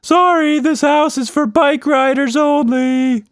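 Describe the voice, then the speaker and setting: High-pitched, excitable cartoon monster. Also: male voice, takes it slowly, dry recording, clean studio sound. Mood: bossy, playful. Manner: casual, exclamatory, slangy talk. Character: excitable, boastful, playful shapeshifting monster with a weird bike.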